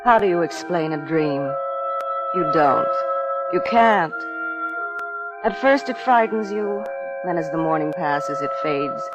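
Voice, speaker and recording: A woman's voice: low-pitched, expressive, takes it slowly, pauses often, loud music behind the voice. A woman playing a troubled young wife haunted by nightmares, earnest and hesitant, in a 1950s radio suspense drama. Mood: anxious, earnest. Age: young adult. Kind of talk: 1950s radio suspense acting and first-person narration.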